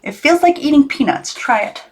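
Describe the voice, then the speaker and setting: Woman voicing a whimsical, excitable older storybook uncle. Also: high-pitched, moderate pace, voice pushed up. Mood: delighted. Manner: playful, exclamatory, formally polite. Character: whimsical, teasing, enthusiastic eccentric older great-uncle. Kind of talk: children's storybook character reading.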